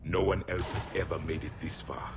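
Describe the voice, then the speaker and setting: A man's voice: sits low, fairly even intonation, brisk, noisy background, close to an everyday voice. A man playing an ancient alien warrior, last of his people, speaking in a grave, formal, declamatory way in a video game. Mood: solemn, stirring. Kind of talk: video game dramatic acting. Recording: game dialogue over loud music.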